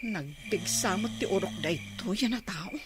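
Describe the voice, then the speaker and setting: A woman's voice: medium pitch, lively intonation, moderate pace, over loud music, lightly acted. A woman with an Ilocano accent playing a complaining, exasperated village wife and mother in a radio comedy drama. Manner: complaining, exclamatory. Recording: noticeable background noise.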